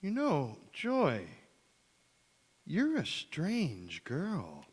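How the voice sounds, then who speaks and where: A man's voice: fairly high pitch, huge pitch swings, slightly rough, exaggerated voice. Man playing swooping, smitten young suitor, echoey hall. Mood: ardent. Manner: halting, earnest, swooping. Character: smitten, fickle, awkward young suitor engaged to another. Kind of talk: stage radio drama acting.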